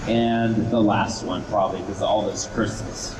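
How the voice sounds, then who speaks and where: A man's voice: lowish voice, fairly even intonation, a little slow. A man giving a casual live demonstration and answering questions in a hesitant, rambling, laid-back way. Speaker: guest wood carver and video maker. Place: large indoor event hall. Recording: echoey noisy event room, distant microphone.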